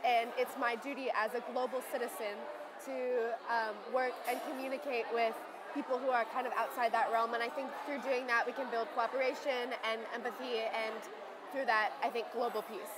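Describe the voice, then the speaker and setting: A woman's voice: a little high, steady tone, moderate pace, over a noisy crowd, very noisy. A young woman from the United States speaking thoughtfully to camera indoors, with some fillers. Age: young adult. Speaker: interviewee. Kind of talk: casual speech to camera. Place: busy indoor dining room. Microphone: earbud headset mic.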